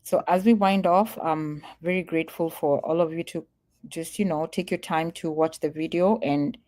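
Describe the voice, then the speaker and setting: A woman vlogger from Kenya talks straight to her viewers in a loose, chatty way. Her voice sits a little low for a woman, and she leans into her warnings, saying them again and again. She laughs easily between points. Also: warm tone. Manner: earnest, emphatic, repeats warnings, laughs easily. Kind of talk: casual livestream talk to viewers.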